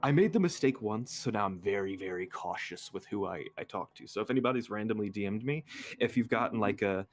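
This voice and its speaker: A man with a fairly low voice talks informally as a guest on a livestream. He speaks hesitantly, breaking his thoughts into short pieces, with faint music playing behind him. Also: lively intonation, a little fast. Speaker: guest content creator. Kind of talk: casual livestream chat, personal anecdotes.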